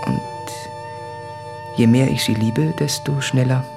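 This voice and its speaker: An actor playing an anguished, self-doubting man who speaks in a brooding, deliberate, confessional way in a literary radio play. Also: mid-range voice, gravelly. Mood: tormented, brooding. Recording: music under the voice.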